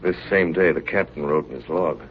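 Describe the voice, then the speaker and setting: An actor playing a level-headed chief officer who narrates a polar voyage in a 1930s radio drama. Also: male voice, very deep voice, a little fast, slightly rough. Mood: grave, recounting. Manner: grave, vivid storytelling. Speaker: narrator of the story. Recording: noisy, dull 1938 radio recording.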